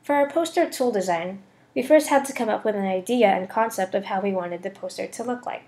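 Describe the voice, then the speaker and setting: A woman's voice: mid-range voice, brisk, a little mellow. A young woman with an American accent narrating a scripted class presentation as a student voice-over. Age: young adult.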